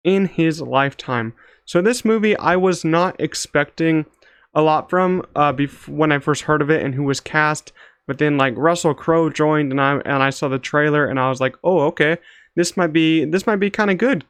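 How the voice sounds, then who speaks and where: A man's voice: on the high side, no room sound, studio quality. A young man giving a casual, off-the-cuff movie review in a loose, slangy, hedging way. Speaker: movie reviewer, channel host.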